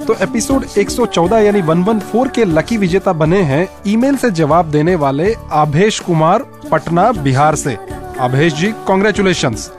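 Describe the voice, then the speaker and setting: A man's voice: sits high, expressive, normal speed, over music. A man co-presenting a radio show in a scripted, conversational style. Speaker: radio co-presenter announcing a contest winner.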